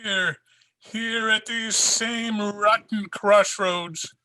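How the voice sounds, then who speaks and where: High, strained older police sergeant played by an actor. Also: male voice, fairly even intonation, sharp tone, heavily acted. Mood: shaken. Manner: distraught, halting, repeating phrases. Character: anguished, shaken older Irish police sergeant, grieving uncle. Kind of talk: old-time radio drama acting.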